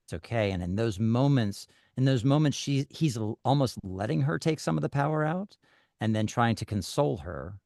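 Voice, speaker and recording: A man's voice: mid-range voice, animated, normal speed, gravelly, a little mellow, no room sound, clean studio sound. A man giving feedback on a script in a group discussion, restarting often as he thinks aloud.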